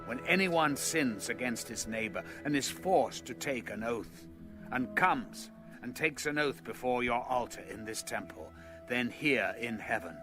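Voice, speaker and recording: A man reads scripture as an audio narrator, in a mid-pitched voice with clear music playing behind him. His delivery is measured and solemn, following a formal script. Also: animated.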